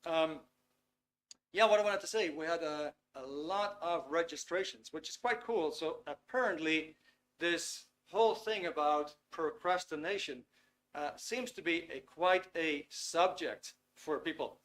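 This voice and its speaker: A man's voice: on the high side, a little slow, fairly bright tone. A man from the Netherlands with a Dutch accent, hosting a live webinar from a small studio, chatting casually with lots of fillers and reading viewers' messages aloud. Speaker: webinar host. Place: small warm studio under lights.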